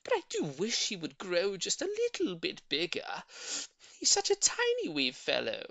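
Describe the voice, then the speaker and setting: Man putting on a high, gushing storybook lady. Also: huge pitch swings, normal speed, creaky, a bit bright, no echo, heavily acted. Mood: upset, pleading. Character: gushing, sweet middle-aged lady doting on her pet. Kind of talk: audiobook character voice.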